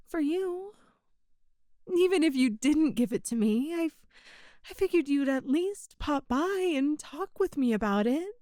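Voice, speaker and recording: A woman's voice: high voice, no echo, clean studio sound. A woman playing a possessive, sweet-talking female boss in an intimate ASMR roleplay, with a coaxing, hesitant manner. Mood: hurt, probing. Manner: coaxing, hesitant, with soft chuckles. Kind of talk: ASMR roleplay, intimate one-sided dialogue.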